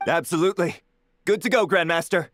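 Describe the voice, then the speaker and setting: A man's voice: on the high side, a little fast, fairly bright tone, lightly acted. An actor playing a dutiful soldier reporting to his superior in a video game. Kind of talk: game voice acting.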